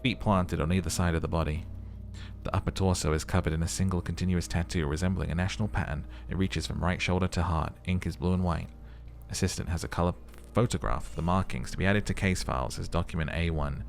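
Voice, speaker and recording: A man playing a calm, methodical police lieutenant in a video game, dictating findings in a dry, matter-of-fact way. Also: deep voice, fast talker, gravelly, a little hiss or hum. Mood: clinical. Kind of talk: video game character voice acting.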